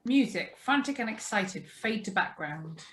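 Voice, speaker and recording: A woman reads sound and music cues from a script. Her voice is mid-pitched, bright and forceful. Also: animated, a little slow. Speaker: reader of stage directions. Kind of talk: scripted narration. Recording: live video-call recording.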